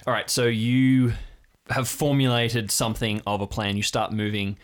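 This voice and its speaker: A man's voice: medium pitch, level tone, moderate pace. A man improvising second-person narration as game master of an audio drama.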